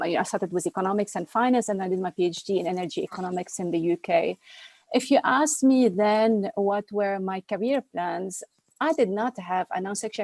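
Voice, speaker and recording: A woman's voice: medium pitch, darkish tone, no room sound. A middle-aged woman from Lebanon, a company founder telling her career story as an interview guest in an earnest, thoughtful way with many hesitations. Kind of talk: answering interview questions, reflective storytelling.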